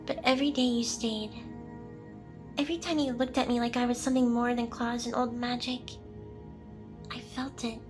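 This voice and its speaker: A high, feminine voice for a demon boss , acted out by a woman. She is smug, teasing and possessive, delivering her lines with theatrical seduction in an ASMR roleplay. Soft music plays faintly underneath. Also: fairly even intonation, normal speed, pauses often, close to an everyday voice. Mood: intense, vulnerable.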